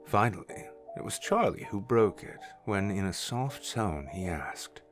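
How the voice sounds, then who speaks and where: A man's voice: lowish voice, expressive, a little slow, over music. A man narrating a scripted story in the third person.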